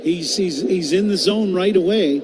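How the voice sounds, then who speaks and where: Guy analyst on baseball radio, kinda higher voice, conversational, noise behind him. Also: moderate pace.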